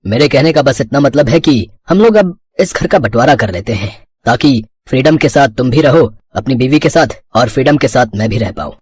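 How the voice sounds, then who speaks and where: A man's voice: medium pitch, expressive, normal speed, hardly any pauses, darkish tone, husky, no echo. A man voicing a compliant, self-interested elder brother in a cartoon village story, matter-of-fact in manner. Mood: detached, firm.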